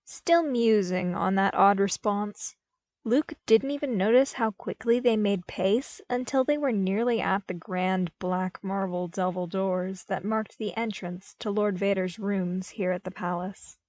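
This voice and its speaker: A woman narrates scripted fiction in the manner of an audiobook. Her pitch sits in the middle for a woman. The recording is very dry, without audible room. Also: fairly even intonation, a little slow, darkish tone, no echo. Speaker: podfic narrator.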